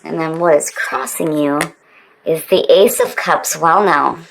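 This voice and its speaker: Female tarot reader, low pitch, casual conversational delivery, informal and emphatic. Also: a little slow, creaky, poor audio.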